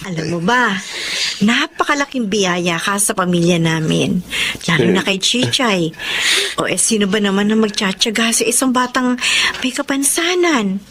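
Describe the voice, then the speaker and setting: A woman's voice: medium pitch, a little hiss or hum. An actress playing a grateful, kindly mother with a Filipino accent, speaking expressively in a radio drama. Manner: heartfelt, expressive.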